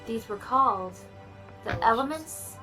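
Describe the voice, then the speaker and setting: Actress as storyteller reading an old legend, highish voice, music underneath. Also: female voice, animated, measured pace, rough recording. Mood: solemn. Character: storybook narrator telling an ancient legend. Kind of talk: scripted audio drama narration. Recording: played back with music underneath.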